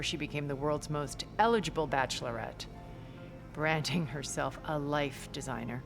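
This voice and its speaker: An actress playing a refined, wealthy immortal woman in a video game, speaking in measured, eloquent, theatrical phrases with quiet scorn. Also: low-pitched, gravelly. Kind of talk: video game character dialogue.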